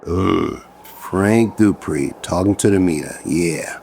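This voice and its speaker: Gravelly, sardonic police lieutenant. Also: male voice, sits low, takes it slowly, darkish tone, a little hiss or hum, heavily acted. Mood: dry, weary authority. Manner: dry, teasing, bossy. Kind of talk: audio drama dialogue.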